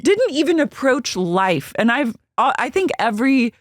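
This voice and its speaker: A woman with a deep voice co-hosting a podcast, recapping gossip in a casual, deadpan, wry way. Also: measured pace, no room sound, clean studio sound. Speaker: podcast co-host. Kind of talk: casual chat.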